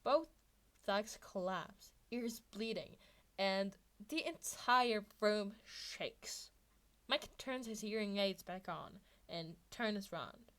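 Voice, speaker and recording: A woman's voice: medium pitch, lively intonation, slow, fairly bright tone. A woman reading fan fiction aloud as a narrator. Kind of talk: story narration.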